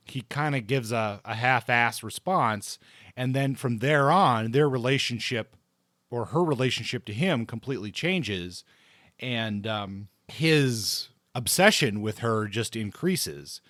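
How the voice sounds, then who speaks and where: A man's voice: medium pitch, fairly bright tone, no room sound, clean studio sound. A man co-hosting a book discussion podcast, talking casually and thinking aloud as he goes. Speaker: podcast co-host discussing books.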